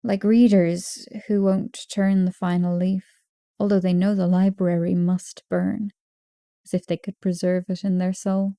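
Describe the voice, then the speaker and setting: A woman giving an expressive poetry reading, with measured, earnest and dramatic phrasing. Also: fairly low pitch, dry recording. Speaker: poetry narrator.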